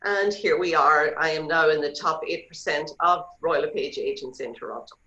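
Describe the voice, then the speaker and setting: A woman's voice: low-pitched, normal speed. A middle-aged woman with an Irish accent, a real estate agent talking chattily and loosely as a guest on a video call. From Ireland. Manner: chatty, relaxed, hesitant with fillers. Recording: roomy, dull video call audio.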